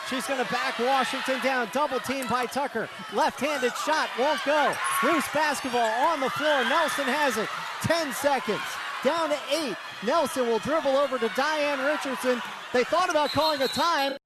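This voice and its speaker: A man's voice: extremely high pitch, noisy background. A man giving live radio play-by-play commentary at a sports venue, projecting his voice in urgent, clipped phrases.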